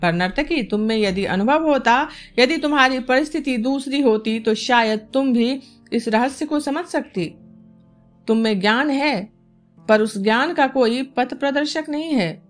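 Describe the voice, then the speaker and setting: A proud yogi philosopher, voiced by a woman in an audiobook story. The delivery is solemn and measured, lecturing with condescension, over a music bed. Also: sits high. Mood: amused condescension.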